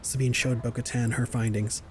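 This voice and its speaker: A man's voice: mid-range voice, little pitch movement, normal speed, a little hiss or hum. A man narrating a scripted science fiction audio drama in the third person.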